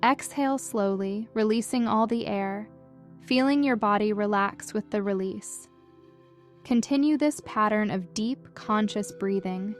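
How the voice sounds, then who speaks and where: A woman narrating a scripted guided meditation in a gentle, calm and soothing manner with measured instructions. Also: medium pitch. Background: music.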